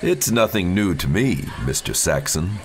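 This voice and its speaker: A man's voice: deep voice, big pitch swings, normal speed. A man playing a smug, condescending self-styled psychic in an audio horror story, speaking with theatrical emphasis. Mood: insistent.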